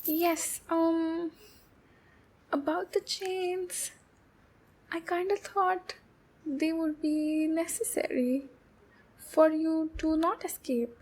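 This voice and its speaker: A woman's voice: very high-pitched, steady tone, a little mellow, exaggerated voice. A woman voicing a shy, anxious, clingy demon captor in a roleplay ASMR, speaking in a hesitant, pleading and soothing way. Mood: nervous, coaxing. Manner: hesitant, halting, pleading, soothing with pet names. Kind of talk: roleplay ASMR, intimate acted monologue.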